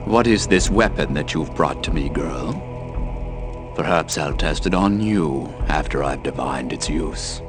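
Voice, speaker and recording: Menacing old dark wizard in a fantasy game, deep voice, dramatic swings in pitch. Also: male voice, animated, over music. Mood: haughty, disdainful. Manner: slow, commanding, menacing. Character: ancient, imperious, menacing male dark sorcerer. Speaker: video game villain.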